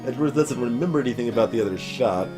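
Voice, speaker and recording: Guy doing lets play commentary, kinda rambly and stammery, pitch moves around, game music behind. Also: expressive, very fast. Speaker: let's player. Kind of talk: casual gameplay commentary.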